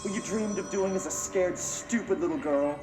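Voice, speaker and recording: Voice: mid-range voice, moderate pace, close to an everyday voice. An actor playing a sullen, unstable captive man in a game, needling the person who evaluates him. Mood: scornful, needling. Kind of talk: game cutscene drama. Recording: echoey room, music behind.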